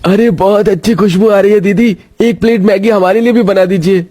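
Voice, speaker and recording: An eager young male customer in a cartoon tale, acted by a man. He sounds delighted, with a raised, high voice. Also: steady tone, a little fast, warm tone, dry recording.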